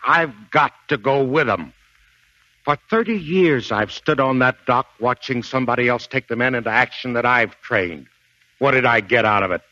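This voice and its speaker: An actor playing a gruff, blustering middle-aged American Marine sergeant in a 1940s radio drama, with folksy, emphatic delivery. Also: male voice, mid-range voice, sharp tone, no echo, close to an everyday voice. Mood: pleading. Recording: dull 1940s radio broadcast recording.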